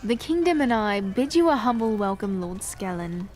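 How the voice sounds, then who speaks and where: A woman's voice: mid-range voice, sing-song, a little mellow, lightly acted. Poised, formal queen, played by a woman. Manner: measured, formal and gracious, coolly reserved. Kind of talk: audio drama acting. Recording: music bed under the voice.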